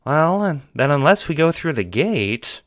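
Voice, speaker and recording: A man voicing an earnest young boy hero in an audio drama, wheedling with halting, hammy pleading. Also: fairly high pitch, sing-song, a bit bright, dry recording, studio quality, voice pushed up.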